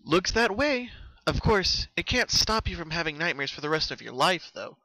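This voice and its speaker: A man plays a caring, studious female unicorn from a cartoon story, his pitch lifted and bright. She sounds earnest and articulate, explaining things like a concerned friend. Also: very animated, moderate pace, dry recording, full character voice, rough recording.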